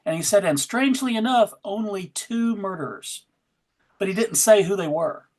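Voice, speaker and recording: A woman's voice: medium pitch, animated, normal speed, a little mellow, low-quality recording. A woman panelist at a fan convention, chatting casually in a wry, joking way. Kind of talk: casual chat.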